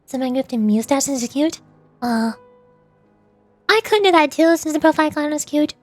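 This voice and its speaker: High-voiced chatty young woman streamer. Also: moderate pace, no room sound, soft background music. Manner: rambling and chatty, with frequent laughs and restarts. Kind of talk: casual chat while streaming a game.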